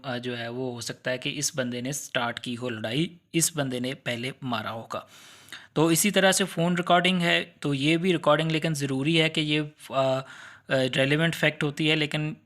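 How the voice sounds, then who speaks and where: A man's voice: a little high, a bit bright. A man with a Pakistani accent giving an explanatory law lecture in a conversational way, rephrasing and posing questions as he goes.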